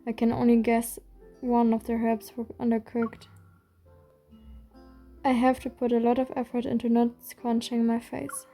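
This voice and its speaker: A woman reading a game's story aloud in a steady first-person voice. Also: fairly high pitch, a little slow, pauses often, faint music behind. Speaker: reader.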